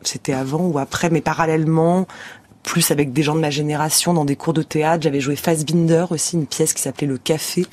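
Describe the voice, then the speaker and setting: A woman's voice: low voice, fairly even intonation, fairly quick, darkish tone. A young actress talking thoughtfully in a radio studio interview, searching for her words as she goes.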